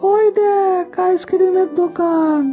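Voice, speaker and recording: A woman acts an unworried, teasing young boy in a cartoon. The voice is shrill and cocky, recorded thin and narrow, with faint music underneath. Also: steady tone, a little slow. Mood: teasing, dismissive. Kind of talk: children's cartoon acting.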